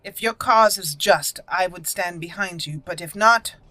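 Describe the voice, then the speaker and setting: Woman playing a bright-voiced formal elf mage. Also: lowish voice, no echo. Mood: assertive. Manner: formal, deliberate. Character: proud young female elf mage noble, blunt, formal. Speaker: host of a gaming channel. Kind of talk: improvised in-character game roleplay narration.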